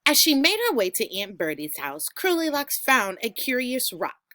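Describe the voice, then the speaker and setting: A woman teacher reading a storybook aloud to children in an enthusiastic, expressive way. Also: on the high side, normal speed.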